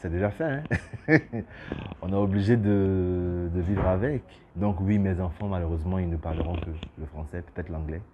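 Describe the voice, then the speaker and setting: A man's voice: low-pitched, moderate pace, narrow, tinny audio, background noise. A man from Côte d'Ivoire, a businessman speaking in an interview, hesitant with restarts and bursts of emphasis. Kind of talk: interview testimony.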